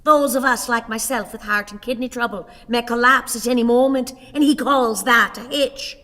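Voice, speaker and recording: An actress playing a querulous, sickly old wife, declaiming with grand indignation and dramatic pauses in a radio play. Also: female voice, a little high, fairly even intonation, pushed and bright. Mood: indignant. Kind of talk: stage radio play acting. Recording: long hall reverb, theatre sound.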